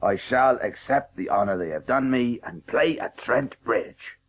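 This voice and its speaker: Blustering old sportsman, husky and swooping. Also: medium pitch, animated, a little slow. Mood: proud, stubborn. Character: ageing celebrated cricketer, blustering, proud, enthusiastic. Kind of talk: radio drama acting. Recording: dull, restored 1980s radio tape.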